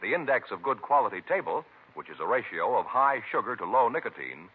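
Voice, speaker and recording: A man's voice: medium pitch, very animated, fairly quick, slightly rough. A man announcing a 1950s radio advertisement with an emphatic, scripted sales delivery. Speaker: commercial announcer. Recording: tinny vintage radio recording.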